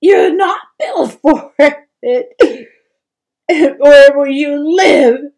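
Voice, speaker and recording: A woman's voice: high-pitched, drawn-out words, a little mellow, voice pushed up, full character voice. Woman playing a tearful, worried troll mother. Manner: faltering, pleading. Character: weeping mother wood troll, tender and worried.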